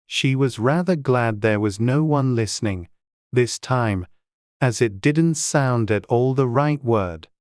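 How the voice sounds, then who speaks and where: An audiobook narrator reading a children's story in a measured, deliberate storytelling way. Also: mid-range voice, a little mellow, no room sound, studio quality.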